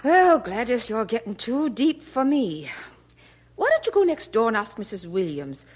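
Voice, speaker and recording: A woman's voice: a little high, sing-song, normal speed, a little mellow. An actress playing a practical, nagging London mother in a radio drama. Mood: brisk, motherly. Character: fussy, practical working-class London mother.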